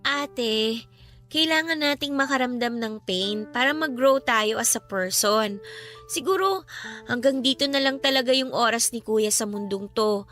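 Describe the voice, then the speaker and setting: A woman's voice: on the high side, a little slow, a bit bright. A young woman acting an earnest, devout younger sister in mourning who reassures others in a Filipino radio drama. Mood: earnest consoling. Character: grieving, devout younger sister consoling her older friend. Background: music.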